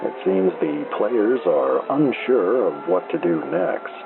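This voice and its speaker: An unflappable baseball play-by-play man, played by a male actor comes through a thin, phone-like radio signal thick with noise. His delivery is deadpan and slow, with a gravelly creak and a small-town broadcast feel. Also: medium pitch, heavily acted. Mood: calm, matter-of-fact. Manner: unhurried, deadpan. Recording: noisy, thin telephone-like AM radio sound.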